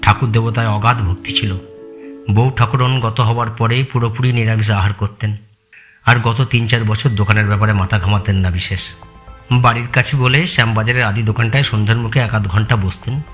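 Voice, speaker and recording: A man's voice: on the low side, steady tone, fairly quick, fairly bright tone, close to an everyday voice. A man acting a loyal, respectful long-time employee in an audio story, giving plain deferential explanations. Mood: respectful, reminiscing. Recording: music bed behind the voice.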